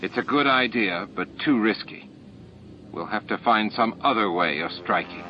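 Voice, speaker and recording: A man's voice: lowish voice, lively intonation, normal speed, slight background noise, lightly acted. A man voicing a cautious, principled male alien rebel worker in an audiobook. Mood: firm. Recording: dull lo-fi audiobook tape.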